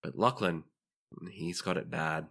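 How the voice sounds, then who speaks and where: A man's voice: deep voice, unhurried, vocal fry, a bit bright, exaggerated voice. A man voicing an injured, worried crewmate woman who speaks frankly, in a tabletop game. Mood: subdued, worried.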